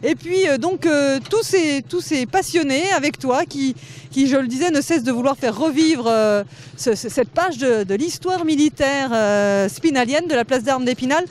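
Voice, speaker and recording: A high-pitched woman reporter asks questions outdoors in a forest. She talks in a chatty, meandering way, full of hesitations. A faint hum of work noise sits behind her. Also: lively intonation, normal speed, a little mellow. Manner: chatty, frequent filler hesitations. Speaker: radio reporter. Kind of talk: on-site interview reportage.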